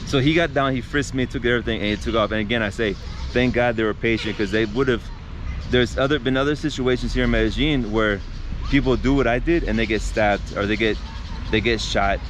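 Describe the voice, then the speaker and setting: A man's voice: medium pitch, fairly quick. A man vlogging outdoors by a city street, telling a story to camera in a loose, conversational way, full of restarts and fillers and often calling his viewers guys. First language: not Spanish. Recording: outdoor street traffic noise.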